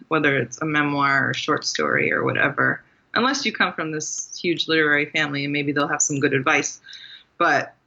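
A woman's voice: deep voice, sharp tone, highs cut off. A woman from Maryland, a guest author, talking casually in an interview with frequent fillers and laughs. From Maryland, USA. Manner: chatty, laughs often, many fillers.

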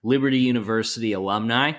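A man's voice: medium pitch, quick pace, a bit bright. A young man co-hosting a casual podcast, wry and joking, with easy laughter. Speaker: podcast co-host. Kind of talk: casual chat.

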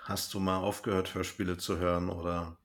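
A man's voice: deep voice, flat delivery, fairly quick. A man hosting a casual podcast interview and chatting with his guest.

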